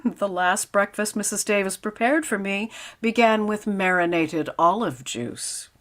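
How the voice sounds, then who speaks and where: A woman's voice: medium pitch, measured pace, a bit bright, natural voice. A woman playing a wry, sardonic schoolteacher in a 1940s-style radio comedy, with dry, pedantic wisecracks. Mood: dry, puzzled.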